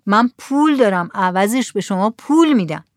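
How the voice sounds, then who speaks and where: A woman's voice: medium pitch, expressive, no echo, studio quality, close to an everyday voice. A woman reading the lines of a demanding, dismissive male mullah in a story. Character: pushy bargaining male mullah.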